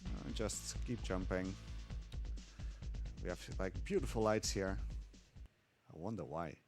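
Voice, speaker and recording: A man explaining his speedrun live in a relaxed, chuckling way, with a casual tone. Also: sits low, a little slow, over music. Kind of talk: casual live gameplay commentary.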